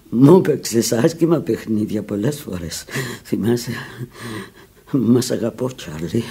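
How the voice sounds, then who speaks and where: An actress playing a sick, drunken, embittered fading prostitute in a Greek radio drama, low and weary, rambling and repeating herself. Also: female voice, vocal fry, mellow, exaggerated voice. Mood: anguished, longing. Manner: rambling, repetitive, volatile. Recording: old radio recording with hiss and clicks.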